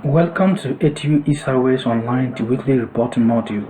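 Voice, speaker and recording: A man narrating a step-by-step software tutorial in a deliberate, instructional way, repeating and rephrasing himself as he goes. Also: medium pitch, background noise.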